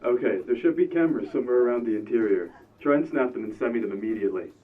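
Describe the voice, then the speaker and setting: A man's voice: mid-range voice, expressive, racing, natural voice. Man playing mocking crime boss, commanding, radio drama, tinny narrow-band voice, distant. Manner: commanding, teasing. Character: bossy, mocking criminal ringleader directing a heist. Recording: distant, thin radio-like lo-fi sound.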